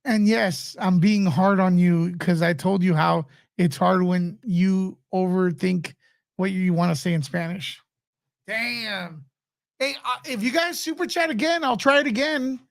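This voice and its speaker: A man's voice: sits high, measured pace, vocal fry. A man with a nasal voice hosting a casual livestream, answering viewer questions in a laid-back way. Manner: laid-back, laughs often, mock radio-announcer bits. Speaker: show host.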